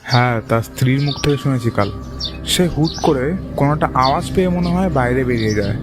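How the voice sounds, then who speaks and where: A man's voice: mid-range voice, fast talker, over music, close to an everyday voice. A man playing a grim village moneylender in a cartoon ghost story, stern, grave and brusque.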